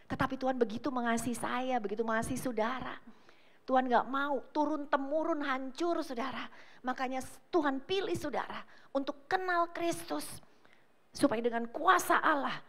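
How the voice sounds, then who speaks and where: High-voiced woman preaching emphatically. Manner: emphatic preaching, often addressing listeners as brothers and sisters; swings between loud declarations and low, soft asides. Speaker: pastor. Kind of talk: sermon. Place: church service.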